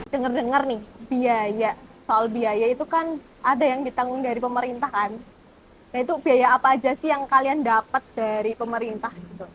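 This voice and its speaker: A young woman hosting a talk show, chatting informally as she interviews her guests. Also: a little high. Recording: clearly audible background noise.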